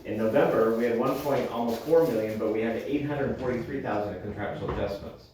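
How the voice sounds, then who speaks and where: A man's voice: mid-range voice, fast. A man presenting finances to a board, explaining figures step by step and checking that listeners follow with an okay. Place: indoor public board meeting room. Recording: echoey meeting room, distant mic, noisy.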